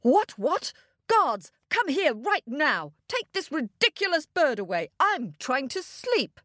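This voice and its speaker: Woman playing a blustering, high-pitched grumpy king. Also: big pitch swings, slow pace, pushed and bright, dry recording, studio quality, heavily acted. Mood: indignant. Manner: blustering, indignant, commanding. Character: pompous, grumpy, sleep-deprived male storybook king. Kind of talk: theatrical children's storytelling.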